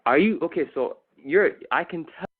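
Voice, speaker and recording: A man's voice: on the high side, expressive, normal speed, slightly rough. A young man calling in to a debate, hesitant and defensive, stumbling over restarts as he argues. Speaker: caller. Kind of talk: defensive call-in argument. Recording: tinny phone-like call line.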